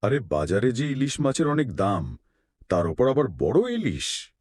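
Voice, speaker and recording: Kindly poor village dad in a cartoon, soothing, voice moves up and down a lot, dry recording. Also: male voice, medium pitch, huge pitch swings, a little slow, darkish tone, no room sound. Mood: mildly protesting. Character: gentle, indulgent poor village father. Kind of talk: cartoon voice acting.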